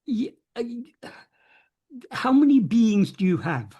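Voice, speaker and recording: A man speaks as a spiritual teacher in a soft, husky and mellow voice. He explains philosophy off the cuff, often circling back to repeat a phrase and stressing key words earnestly. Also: high-pitched, big pitch swings, slow pace. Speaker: spiritual teacher answering questions. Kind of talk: unscripted philosophical discourse in an online meeting. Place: online video meeting.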